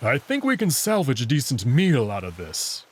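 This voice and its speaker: A man voicing a self-assured, teasing male wolf character from a visual novel, casual and gently bossy. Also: mid-range voice, wildly swooping pitch, normal speed, slightly rough. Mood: easygoing. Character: confident, teasing male anthropomorphic wolf, commanding yet caring.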